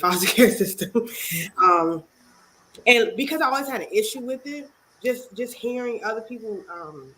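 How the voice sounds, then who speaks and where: A congested woman from Maryland, speaking as a guest author and youth advocate in an interview, earnest and halting with many pauses. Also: lowish voice, a little hiss or hum, low-quality recording. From Maryland, USA. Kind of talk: interview talk.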